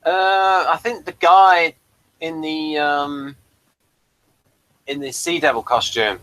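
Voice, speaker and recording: A man's voice: a little high, fairly even intonation, slow pace, bright, forceful tone, dry recording, rough recording. A man co-hosting a review show, talking in a casual, conversational style.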